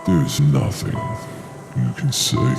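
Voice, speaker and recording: A deep, crackling demon drags out each word with gloating contempt. The delivery swings in pitch, full of menace, in an echoing horror audio drama with music beneath. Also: male voice, big pitch swings, heavily acted. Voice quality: deep gravelly growl. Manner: slow, haughty, drawn-out delivery. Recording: echoey, music underneath.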